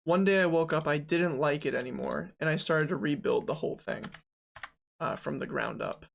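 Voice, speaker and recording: A young streamer in their twenties with a gravelly, fry-heavy voice at a middle pitch. They narrate their own work in a loose, joking way, with plenty of ums and asides. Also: normal speed, a little hiss or hum. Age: 20s. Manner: joking, rambling, self-talk with fillers. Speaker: game developer streaming. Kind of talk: casual live dev stream, thinking aloud.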